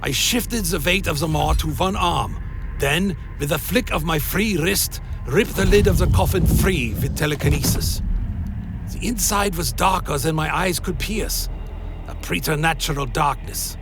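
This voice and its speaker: An actor playing a brooding undead vampire warrior, narrating his own journey in a solemn, measured way for a dark fantasy radio play. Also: on the high side. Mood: tense, measured. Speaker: narrator and protagonist. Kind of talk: scripted first-person dramatic narration. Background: music.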